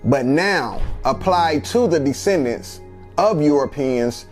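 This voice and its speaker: Slow, deliberate male explainer. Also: on the high side, expressive, soft background music.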